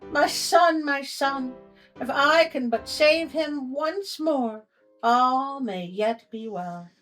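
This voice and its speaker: A woman's voice: high voice, slow pace, a bit bright, faint music behind, voice pushed up, low-quality recording. A woman playing an anxious, loving storybook queen who protects her son, earnest and old-fashioned in phrasing. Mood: pleading.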